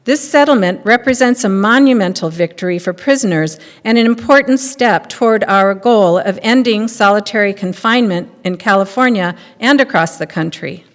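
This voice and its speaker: A woman's voice: mid-range voice, normal speed, few pauses. An older woman giving a scripted reading aloud from a lectern. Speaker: reader in readers theater. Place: large temple hall, at lectern. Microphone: microphone on stand. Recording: echoey hall.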